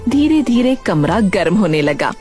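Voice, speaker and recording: A woman's voice: medium pitch, very animated, unhurried, over music. A woman narrating a scripted cartoon story.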